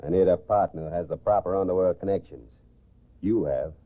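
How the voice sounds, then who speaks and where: An actor playing a confident, calculating gentleman swindler in a 1940s American radio crime drama. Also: deep voice, a little fast. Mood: cool, scheming. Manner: self-assured, calculating, clipped orders. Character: smooth, confident gentleman swindler posing as society golfer. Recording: muffled, phone-like 1940s radio recording.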